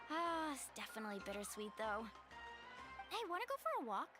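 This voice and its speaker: A woman's voice: sits high, very animated, normal speed, over music. A woman playing a friendly, confident young warrior in a video game, with an upbeat, easygoing, encouraging manner. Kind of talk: casual video game dialogue.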